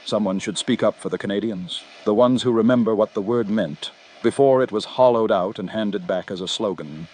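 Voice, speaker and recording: An actor playing a measured, literate frontier newspaper correspondent with an English accent, narrating dramatically from a script. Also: male voice, fairly low pitch, darkish tone, lightly acted, poor audio. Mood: reflective.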